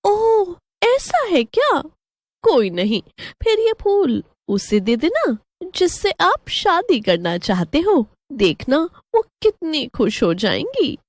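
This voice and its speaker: A woman's voice: sits high, huge pitch swings, slow pace, no echo, studio quality, voice pushed up, full character voice. A woman voicing a young flower-seller girl who coaxes sweetly and playfully in a horror story. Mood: playful sympathy.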